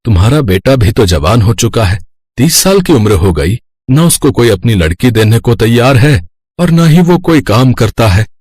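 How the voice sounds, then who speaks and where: A man's voice: mid-range voice, very animated, normal speed, a little mellow, husky, no room sound. A man playing a proud, indignant father of grown daughters in a cartoon story, speaking with firm reproach.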